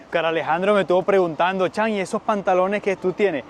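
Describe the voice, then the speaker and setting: A Venezuelan man hosting a casual walking tour outdoors, chatty and quick to laugh. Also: high voice, slight background noise. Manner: chatty, laughs often, echoes the other speaker. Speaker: vlogger host. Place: outdoors on a city street.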